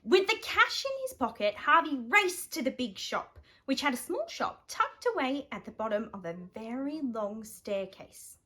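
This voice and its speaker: An Australian woman reading a children's picture book aloud, animated and dramatic with playful emphasis. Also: sits high, normal speed, hardly any pauses. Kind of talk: expressive children's bedtime story reading.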